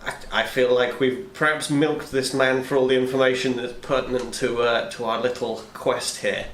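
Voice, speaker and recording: A man's voice: medium pitch, little pitch movement, moderate pace, fairly bright tone. A man chatting playfully as a tabletop game player, wordy and a little mock-formal. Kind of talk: playful in-game chat and narration. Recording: echoey room, faint noise.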